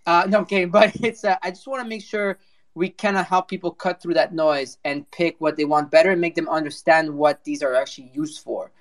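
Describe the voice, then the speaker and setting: A man's voice: on the high side, fairly even intonation, brisk. A man hosting a casual live audio panel, informal and prone to swearing. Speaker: host.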